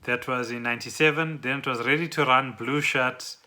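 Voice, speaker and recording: A man's voice: medium pitch, steady tone, fairly quick, bright, forceful tone. A South African man co-hosting a podcast in casual, unscripted chat. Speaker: podcast co-host.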